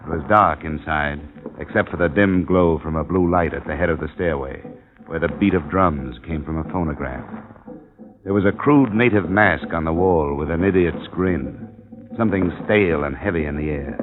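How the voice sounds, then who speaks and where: Casting: cool freelance investigator, deep voice, terse narration, thin vintage radio sound. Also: male voice, moderate pace. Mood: moody, atmospheric. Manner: terse, businesslike. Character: cool, matter-of-fact male freelance insurance investigator. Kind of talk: 1950s radio detective acting and narration. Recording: tinny vintage radio recording.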